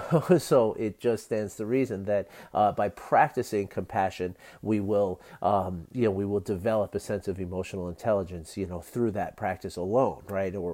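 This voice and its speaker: A man with a scratchy, worn voice hosts a solo podcast, wandering through his thoughts in an earnest, unscripted way. He fills pauses often and breaks into small laughs as he goes. His voice sits a little low. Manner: rambling and earnest, frequent fillers and soft laughs. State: scratchy, tired voice. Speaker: podcast host. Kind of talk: casual solo podcast talk.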